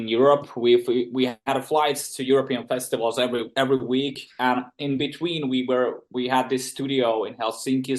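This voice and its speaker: A man from Finland with a Finnish accent, a musician guest on a podcast, speaking earnestly and intensely in English, often repeating words as he starts a sentence. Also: medium pitch. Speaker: band member, guest. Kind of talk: podcast interview answers.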